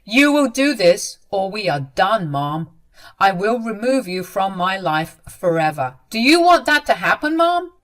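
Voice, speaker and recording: A woman voicing a stern, angry adult son in a dramatized story, speaking in a hard, forceful, commanding way. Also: medium pitch, big pitch swings, unhurried.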